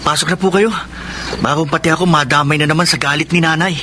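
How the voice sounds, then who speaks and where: A man's voice: a little high, steady tone, fast, natural voice. Man acting put-upon Filipino teenage son, noisy recording. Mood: concerned, wary. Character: dutiful, put-upon teenage eldest son, wryly joking. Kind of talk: Filipino radio drama acting. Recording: very noisy radio drama soundtrack, background ambience.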